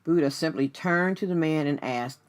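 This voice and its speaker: A woman's voice: low voice, moderate pace. A woman hosting a radio show as a life coach, speaking earnestly and directly to the listener in a scripted but conversational motivational style. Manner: earnest, direct address to the listener with rhetorical questions.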